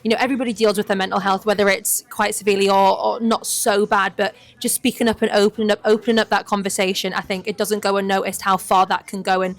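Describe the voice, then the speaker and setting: A woman from Newcastle with a mixed Geordie and southern English accent, a podcast guest talking in a quick, earnest, upbeat way. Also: medium pitch, level tone. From Newcastle, England. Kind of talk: casual chat.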